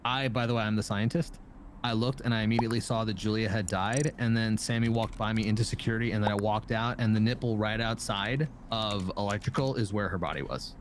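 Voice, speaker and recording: Lower-voiced man on game voice chat. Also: fairly even intonation, brisk. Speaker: player in online party game. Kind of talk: casual voice chat, explaining. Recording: voice chat, faint noise.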